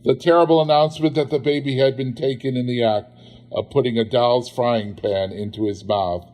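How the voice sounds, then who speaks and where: A man narrating a literary story expressively, in a measured, theatrical manner. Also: medium pitch, steady tone, a little mellow. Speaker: audiobook narrator.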